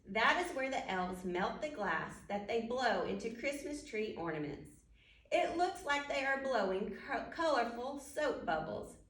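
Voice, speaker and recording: A woman's voice: fairly high pitch, fairly bright tone. A woman reading a children's storybook aloud at storytime, speaking directly to young listeners and putting questions to them. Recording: roomy echo, distant microphone.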